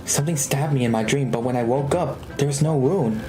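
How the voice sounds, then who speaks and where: A man's voice: mid-range voice, brisk, over music, low-quality recording. A man live streaming a video game, reading dialogue aloud and reacting casually with exclamations.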